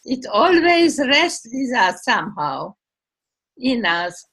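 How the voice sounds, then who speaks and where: An older woman giving a personal storytelling speech in a deliberate, reflective way. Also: a little high, very animated, fairly bright tone, slightly rough, low-quality recording. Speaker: club meeting speaker.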